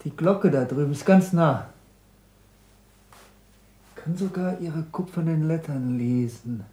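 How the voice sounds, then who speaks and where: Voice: medium pitch, takes it slowly, warm tone, natural voice. A performer playing an eager, curious young boy pupil in a 1960s German radio drama. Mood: amazed.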